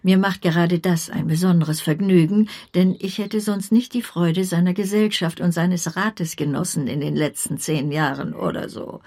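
An actress playing a brisk, blunt older aunt with a kind core in a radio play, opinionated and emphatic, with a husky, creaky voice. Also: female voice, fairly low pitch, fairly even intonation, a little mellow. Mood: emphatic, proud.

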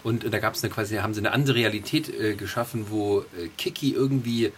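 A man's voice: on the low side, fast talker, slight background noise. A man co-hosting a podcast, casually explaining things and often searching for his words. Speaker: podcast co-host. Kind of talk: casual group chat, explaining.

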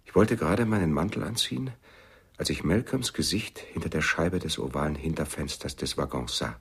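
An actor playing a haunted, subdued jazz pianist in a radio drama, with a husky, breathy voice. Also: male voice, extremely low pitch, brisk, full character voice. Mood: troubled, recounting. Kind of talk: German radio drama acting.

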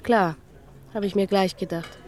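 An actress playing a sharp-tongued, world-wise former bar waitress in a German radio drama, sardonic and knowing. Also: female voice, medium pitch, steady tone, measured pace, lightly acted. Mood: wary, knowing.

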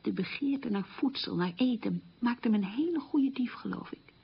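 An actress playing a reflective middle-aged woman recalling wartime childhood hunger in a halting, emphatic radio monologue, with a husky, creaky voice. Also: on the high side, animated, full character voice. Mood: reflective, candid. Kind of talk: radio play monologue, conversational reminiscence. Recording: dull lo-fi radio recording.

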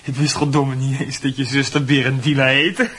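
An actor playing a lovesick, proud and touchy schoolboy in a radio drama, with an emotional, argumentative manner. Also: male voice, medium pitch, little pitch movement, moderate pace, fairly bright tone, lightly acted. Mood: brooding, confiding. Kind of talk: Dutch radio drama acting. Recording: noisy radio play recording with ambience.

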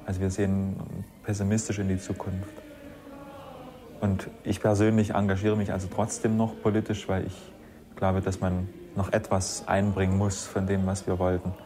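A man reflecting earnestly on politics in a sober, deliberate way, as if interviewed. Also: sits low, completely flat delivery. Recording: faint ambient noise.

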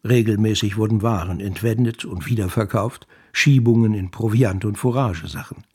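A man's voice: lowish voice, moderate pace, darkish tone, breathy edge. An actor playing a wry, self-satisfied novelist who narrates and muses on his characters in a German radio drama, reflective and conversational.

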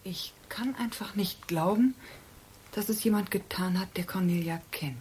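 An actress playing a concerned, soothing woman in a radio crime drama, earnest and gently appeasing. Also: mid-range voice, a little slow, a little hiss or hum, natural voice. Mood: hushed, troubled. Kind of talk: German radio crime play acting.

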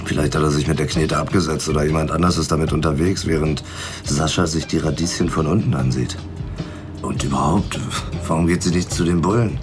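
A deep-voiced, scruffy male investigator who drinks too much in a radio play. His talk is flippant and slangy. Loud music runs behind him. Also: steady tone, brisk. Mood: dry, flippant. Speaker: actor in a radio drama. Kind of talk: German radio drama acting.

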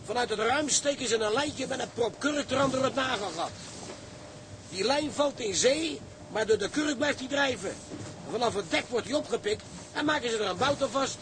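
Calm old-hand sailor explaining stuff, really high pitch, sea drama, loud ship noise. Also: male voice, moderate pace. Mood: calm, explanatory. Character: calm, knowing male seaman explaining to crewmates. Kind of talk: Dutch seafaring drama acting.

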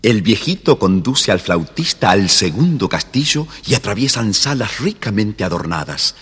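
A man's voice: fairly low pitch, big pitch swings, normal speed, fairly bright tone, slightly rough, big-room sound. A man narrating a children's fairy tale, phrasing it carefully and dramatically. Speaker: storybook narrator.

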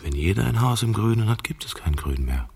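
An actor playing a stubborn, world-weary man in a radio play, with a gravelly, husky voice and a dry, musing, contrary manner. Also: lowish voice, sing-song, fairly quick, darkish tone, no echo, exaggerated voice. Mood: resigned.

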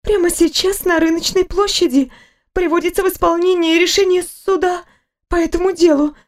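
A woman voicing a fussy, talkative young female nurse with a high, ringing voice. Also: steady tone, moderate pace. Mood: chattering.

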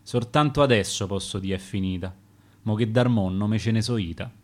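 A man's voice: fairly low pitch, fairly quick, voice pushed down, lightly acted. A man playing a bitter, wronged and outspoken wife, complaining in verse with a Roman accent.

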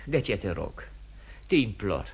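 Lovestruck son, young male, radio drama: husky, very animated, earnest, urgent and pleading. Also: on the low side, heavily acted. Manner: urgent, imploring, emotional. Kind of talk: theatrical radio drama acting.

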